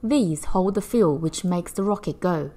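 A woman reading a picture book aloud, in an animated, measured storytelling manner for young children. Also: fairly low pitch.

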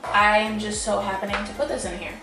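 A woman's voice: medium pitch, flat delivery, fast. Young woman chatting casually, roomy kitchen sound. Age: young adult. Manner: informal, rambling, frequent "like" and "you know". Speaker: home cooking vlogger. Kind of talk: casual chatty cooking vlog. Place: home kitchen, indoors. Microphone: camera across the table. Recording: roomy, distant camera mic, background music.

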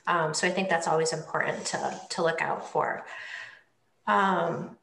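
Middle-aged woman hosting a video call, lowish raspy voice, echoey room, gentle, repeats herself. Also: steady tone, a little slow, slight background noise. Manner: gentle, repeats words, asks follow-up questions. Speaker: moderator. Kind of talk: moderating a panel on video call. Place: room at home, indoors. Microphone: laptop webcam on video call.

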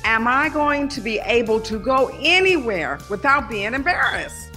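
A woman's voice: a little high, lively intonation, moderate pace, bright, forceful tone, faint music behind. An actress playing a fretful, exasperated mother of an unruly family in an audio drama. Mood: embarrassed.

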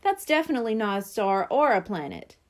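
A woman's voice: medium pitch, sing-song, a little fast, natural voice. A woman voicing a brainy, condescending young boy in a storybook, explaining things precisely and patiently. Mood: know-it-all. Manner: precise, explanatory, know-it-all.

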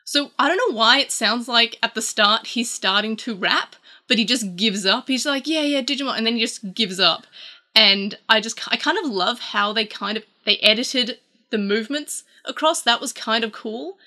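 Chatty Australian woman with a bright voice. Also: fairly high pitch, normal speed. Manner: chatty, rambling with frequent fillers. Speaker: YouTube host. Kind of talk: casual chat reviewing old ads. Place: small room with toy-filled shelves.

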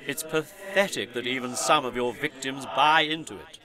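A weary Christmas spirit in an audio drama, played by a man, earnest and reproachful. He pleads in a medium-pitched voice over the clear chatter of a crowd. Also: normal speed, close to an everyday voice. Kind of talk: scripted audio play acting.

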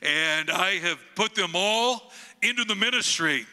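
An older man in his sixties from the United States, a guest pastor with a booming voice, preaching and praying slowly into a handheld microphone on a church stage. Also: lively intonation, sharp tone. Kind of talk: sermon and prayer. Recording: long hall reverb, faint music behind.

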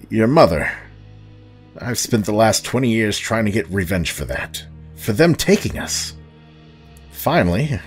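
A man playing a remorseful middle-aged space pirate, earnest and halting, in an audio drama. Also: on the low side.

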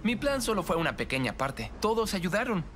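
A man with a Latin American Spanish accent playing an earnest, encouraging young team leader in an animated series. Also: high-pitched, a little fast, a little hiss or hum. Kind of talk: animated series dub acting. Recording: loud music behind the voice.

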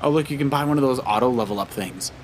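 A man's voice: medium pitch, quick pace, slight background noise. A man, middle-aged, giving casual let's play commentary in a playful, coaching way as a father guiding his child. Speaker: father guiding child through a video game.

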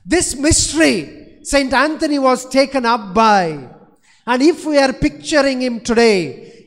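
A Franciscan priest in his forties preaches with a strikingly high voice, pressing his points with slow stresses and repeated phrases. He speaks into a stand mic. His words ring out with the long reverb of a large church. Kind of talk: sermon.

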